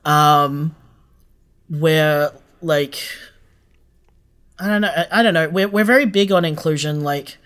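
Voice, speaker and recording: A guest chats in an interview about their creative work. The delivery is earnest and informal, with frank, blunt wording and a middling pitch. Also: slow, long pauses, a bit bright, smooth. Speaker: interview guest, audio drama maker. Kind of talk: casual interview chat.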